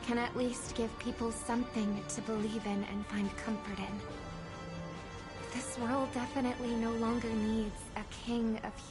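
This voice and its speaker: An actress playing an earnest, resolute young woman, a devoted sister, in gentle, measured game dialogue. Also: a little high, close to an everyday voice. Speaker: game character voice actor. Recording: music behind the voice.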